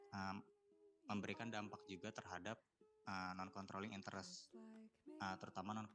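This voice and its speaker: Man, very low voice, halting explanatory presentation, prominent guitar music behind. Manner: halting, hesitant delivery. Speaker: student presenter. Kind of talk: presentation explaining accounting slides.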